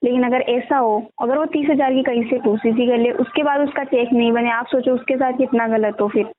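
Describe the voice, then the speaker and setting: A woman explaining a sales pitch on a phone call, often asking for agreement. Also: fairly even intonation, brisk. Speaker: network marketing recruiter. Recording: tinny phone call recording.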